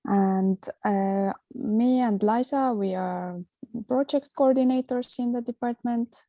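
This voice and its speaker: Slow, mellow-voiced woman on a call. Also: a little high, no echo, highs cut off. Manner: slow, hesitant, many fillers. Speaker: stop motion teacher and project coordinator. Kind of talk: online info session talk. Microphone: video call.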